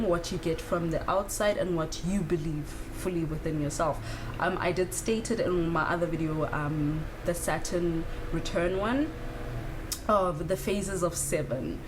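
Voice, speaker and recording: A woman around thirty from South Africa, talking through spiritual ideas in a candid, casual monologue with frequent fillers and the odd swear word. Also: on the low side. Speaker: spiritual vlogger. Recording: clear background noise.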